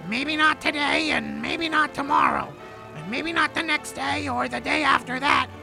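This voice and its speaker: Guy doing moody old-movie club boss parody, gravelly, over the top, music behind. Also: extremely high pitch, moderate pace, heavily acted, poor audio. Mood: melodramatic farewell. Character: brooding, melodramatic male nightclub owner in romance parody. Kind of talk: spoof of 1940s film acting.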